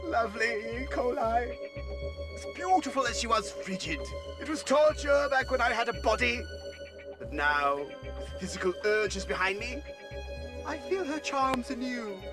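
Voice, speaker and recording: Game villain role: scheming alien commander, very high voice, pompous, quick to rant, music underneath. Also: male voice, lively intonation. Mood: wistful, bitter. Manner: pompous, theatrical, wordy. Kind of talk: video game cutscene acting.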